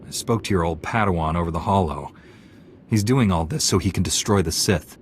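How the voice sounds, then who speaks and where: An actor playing a calm, confident young knight hero in a video game, reassuring and matter-of-fact. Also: male voice, sits low, brisk, slight background noise, close to an everyday voice. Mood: calm, resolute. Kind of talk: video game drama acting.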